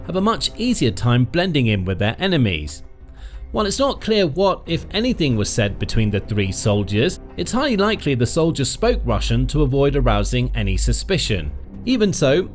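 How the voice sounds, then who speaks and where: A man narrates in a scripted documentary style, his pitch rising and falling a great deal as he leans on key words. His delivery is dramatic and emphatic, with pauses for effect. Faint music plays underneath his voice. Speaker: narrator of a military news channel. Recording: faint music bed behind the voice.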